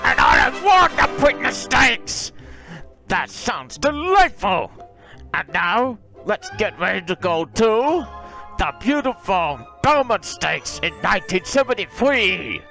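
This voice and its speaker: A man playing a loud, boastful, theatrical cartoon king who declaims like an excitable announcer. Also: high-pitched, slow, gravelly, over music, exaggerated voice. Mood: boastful, excited. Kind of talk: exaggerated cartoon comedy presenting.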